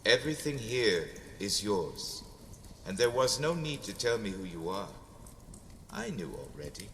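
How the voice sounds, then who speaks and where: A man voicing a kindly, devout old priest who speaks in a gentle, measured, welcoming way. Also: lowish voice, sing-song, in a large hall, slight background noise. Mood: gentle, reassuring.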